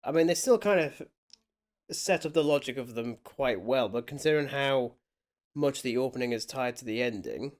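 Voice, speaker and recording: A man's voice: medium pitch, animated, moderate pace. Musing man in casual film chat. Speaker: film podcast co-host. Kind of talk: casual movie commentary chat.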